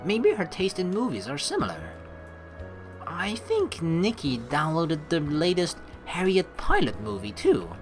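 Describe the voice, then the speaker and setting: A woman doing a let's play, with a low voice and lively, swooping intonation. She narrates on-screen text and slips into playful commentary over background game music. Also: unhurried. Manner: playful, casual, teasing asides.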